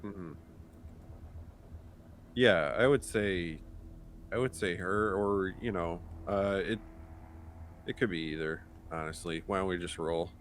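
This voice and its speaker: A man with a low voice plays a tabletop roleplaying game. He speaks slowly, casually and a bit tentatively, with faint noise in the background. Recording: noisy background ambience.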